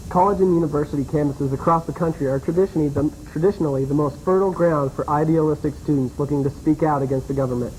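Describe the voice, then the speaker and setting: A male anchor reads bulletins for a campus newscast in a steady, practiced way. His voice is a touch higher than most men's and rounded and dark in tone, with faint hiss in the background. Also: quick pace, few pauses, rough recording. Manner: steady newsreading. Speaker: news anchor. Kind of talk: read news bulletin.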